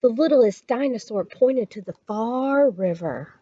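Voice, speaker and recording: A woman reading a children's story aloud as a storytime reader, expressive and dramatic. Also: fairly high pitch, a little slow, no echo, lo-fi sound.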